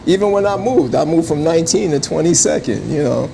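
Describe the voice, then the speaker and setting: A man's voice: on the high side, expressive, brisk, vocal fry, noisy background. A man, talking casually and emphatically in slang as a restaurant owner being interviewed. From born in Jamaica, raised in Brooklyn from age five.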